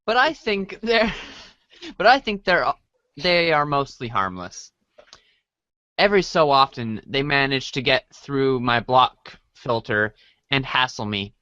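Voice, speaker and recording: Performer voicing mild young friend, hesitant, forgiving, slow pace, animated pitch, dry recording, amateur comic drama. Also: no echo, exaggerated voice. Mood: gentle, amused. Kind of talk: amateur comedic readers' theater acting.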